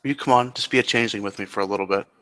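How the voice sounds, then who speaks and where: A man voicing a gentle, reassuring changeling creature in a tabletop roleplaying game, calm and measured as he coaxes. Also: fairly low pitch. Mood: coaxing. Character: gentle, reassuring changeling, a scary-looking creature, playful at heart.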